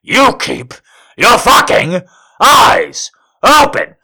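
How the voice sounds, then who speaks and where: A man voicing a commanding, growling male lover who speaks low and often in a hushed whisper. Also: very animated, drawn-out words, creaky, heavily acted. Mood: domineering.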